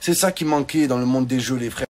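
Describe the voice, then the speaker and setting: A man's voice: medium pitch, a little fast, darkish tone. A man telling comic stories in casual street slang, in an exclamatory, mock-indignant way. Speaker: online comedian. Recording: clearly noisy background.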